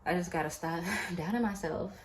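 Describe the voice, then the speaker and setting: A woman in her late twenties talking to her YouTube viewers in a casual, animated, chatty way, full of fillers. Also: fairly low pitch, normal speed, a little hiss or hum. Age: late 20s. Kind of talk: casual motivational vlog. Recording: echoey room, faint ambience.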